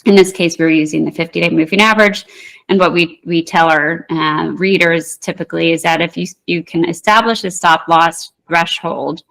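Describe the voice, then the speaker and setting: A woman with a fairly low voice talks through trading charts as a guest in an interview. She speaks in a measured, thoughtful way, often pausing on "um" and restarting phrases as she explains each setup. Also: fairly even intonation, vocal fry, a bit bright, poor audio. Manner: measured, with frequent fillers and restarts. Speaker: market technician guest.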